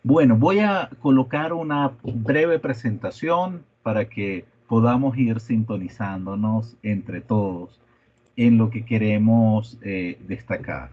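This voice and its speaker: A man who is a native Spanish speaker giving a guest economics lecture in a measured way, with hesitant fillers. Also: lowish voice, animated, muffled recording. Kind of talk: lecture presentation.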